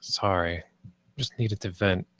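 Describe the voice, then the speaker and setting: A man's voice: low voice, slow pace, vocal fry, a bit bright, dry recording, full character voice. A man reading the part of a wistful, idealistic theme-park designer at a screenplay table read, earnest and understated. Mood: dejected.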